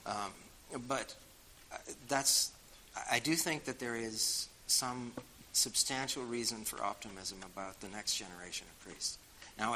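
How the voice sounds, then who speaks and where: An older man with a Boston accent talks frankly and with dry wit, filling pauses with "uh" and "you know." His voice is bright and forceful. A faint hiss sits under the recording. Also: medium pitch, rough recording. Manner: frank, wry, many fillers. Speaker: guest speaker answering audience questions. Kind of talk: casual spoken reply.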